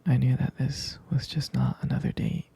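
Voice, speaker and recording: A man playing a devoted, smitten boyfriend in an intimate ASMR roleplay, speaking in a breathy, husky whisper, hesitant and tenderly repeating his phrases. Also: medium pitch, very animated, normal speed, warm tone, exaggerated voice. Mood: tender, wistful.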